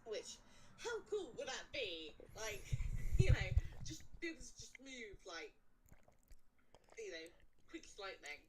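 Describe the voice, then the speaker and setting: A woman hosting a casual spooky folklore show, chatting and telling stories in a measured way with pauses between phrases. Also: on the high side, big pitch swings, background noise. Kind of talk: casual chat and storytelling.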